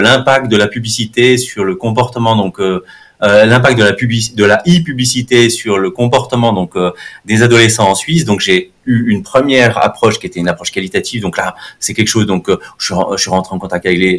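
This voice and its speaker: A man's voice: on the low side, steady tone, brisk. A middle-aged man from France, answering interview questions in a conversational way, with many fillers and restarts. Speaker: guest, marketing institute dean.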